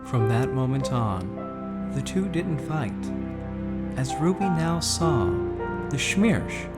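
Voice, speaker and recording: A man narrating a radio drama like a storybook, with measured, theatrical delivery. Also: medium pitch, very animated, over loud music.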